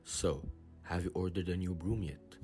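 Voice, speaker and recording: A man acting an earnest, excitable teenage sports captain in an audio drama. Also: deep voice, normal speed, faint music behind, natural voice.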